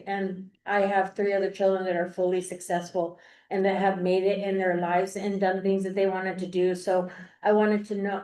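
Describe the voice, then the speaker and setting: A woman's voice: medium pitch, dark tone. An older woman, a grandmother, giving an earnest, rambling public comment at a school board meeting, often repeating herself. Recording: echoey meeting room.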